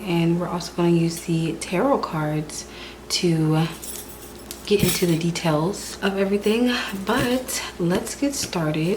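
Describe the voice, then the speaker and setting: A woman doing a spiritual tarot reading in a calm, repetitive way, sounding stuffy and hoarse from a cold. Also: lowish voice, slight background noise, rough recording. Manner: calm, repetitive, soft-spoken delivery. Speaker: tarot reader.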